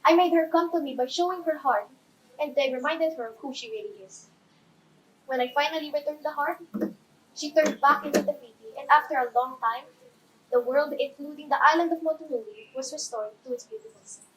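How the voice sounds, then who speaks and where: A woman acts out a brave young island heroine with a high voice. She is cheerful and curious, telling her own story in a chatty, theatrical character monologue. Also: brisk, a bit bright, low-quality recording. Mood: hopeful. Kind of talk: first-person character monologue.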